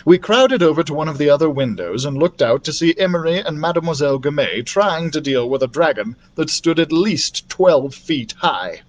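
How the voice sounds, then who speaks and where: A man narrating a children's fantasy novel as a library storyteller, expressively, in an animated manner. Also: fairly high pitch, normal speed, hardly any pauses. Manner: animated, acts out the characters' dialogue.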